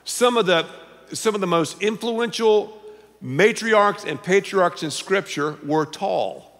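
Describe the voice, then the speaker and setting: An older man preaching a sermon on a large church stage, animated and playful, often dropping to a low confiding tone. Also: slow. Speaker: pastor. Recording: long reverb of a big hall.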